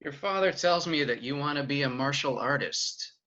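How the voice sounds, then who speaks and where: An actor playing a wise, solemn kung fu master with measured delivery in a sitcom table read. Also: male voice, mid-range voice, expressive, sharp tone, highs cut off. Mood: solemn, mysterious. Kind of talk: sitcom table read over video call.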